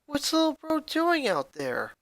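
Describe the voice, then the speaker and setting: A man voicing an excitable, opinionated teenage boy in a fan fiction reading, speaking loudly and emphatically. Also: very high-pitched, measured pace, no room sound, clean studio sound, voice pushed up, exaggerated voice. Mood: puzzled.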